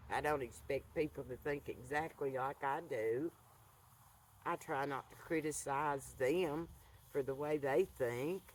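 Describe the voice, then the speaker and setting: A woman, talking earnestly to a camera by an outdoor fire pit, soft-spoken and halting. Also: deep voice. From rural Appalachian North Carolina. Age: 70s. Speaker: vlogger. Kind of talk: reflective monologue to camera.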